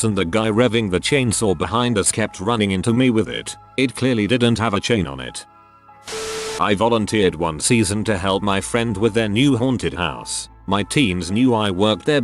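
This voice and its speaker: A man reading online stories aloud in a steady, even scripted narration. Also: lowish voice.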